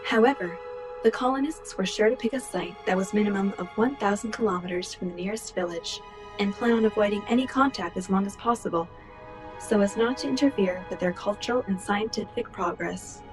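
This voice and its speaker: A woman playing a proud, composed colonist dictating a formal log in a radio play, measured in manner. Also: medium pitch, close to an everyday voice, low-quality recording. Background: music.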